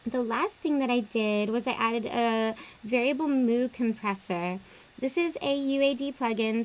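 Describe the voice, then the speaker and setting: High-voiced young woman explaining studio work. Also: rough recording. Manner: relaxed, explanatory, uses fillers like um and like. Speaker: music producer. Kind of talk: casual studio tutorial. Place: small home studio indoors.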